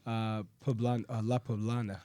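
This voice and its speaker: A man's voice: fairly low pitch, level tone, moderate pace, dark tone, no echo. A man hosting a health podcast as a physician, explaining medical topics in a conversational way and sometimes correcting himself mid-sentence. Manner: explanatory, with frequent self-corrections. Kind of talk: health talk and interview.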